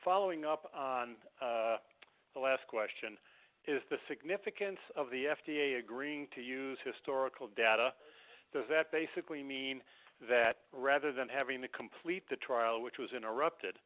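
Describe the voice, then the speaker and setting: A man's voice: medium pitch, moderate pace. A man calling into a conference call with informal, insistent questions, repeating himself to get confirmation. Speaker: caller asking questions. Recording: dull lo-fi phone line.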